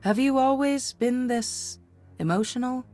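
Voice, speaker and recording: A woman's voice: sits high, measured pace, darkish tone, soft background music. A woman playing a composed, direct veteran hero in a space fantasy game, cool and concise.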